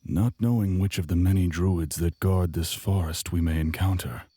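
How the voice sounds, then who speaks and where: A man playing a wary, patient spellweaver and tutor in a fantasy audio drama, with husky, formal, restrained speech. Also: low voice, steady tone, normal speed, warm tone, dry recording. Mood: apprehensive.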